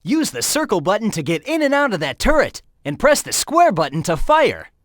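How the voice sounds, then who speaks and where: A man's voice: sits high, huge pitch swings, normal speed, fairly bright tone, no echo, studio quality, heavily acted. An actor voicing a cocky, upbeat young male hedgehog hero in a video game, giving breezy, encouraging hints.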